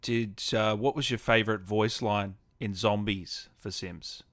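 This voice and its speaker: A man's voice: lowish voice, steady tone, a little slow, a bit bright, no room sound. A man hosting a gaming show, chatting casually with effusive, matey informality. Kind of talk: casual livestream interview and chat.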